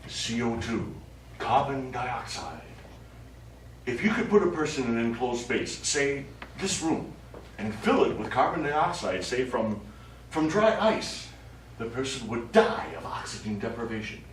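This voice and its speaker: A man on stage plays a self-satisfied, lecherous lawyer, theatrical and leering. His middle-pitched voice comes from a distance in a very echoey hall, with faint noise behind it. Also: very animated, measured pace. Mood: sly, explanatory. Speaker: stage actor. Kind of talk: live comic one-act stage play acting. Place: small theatre studio stage.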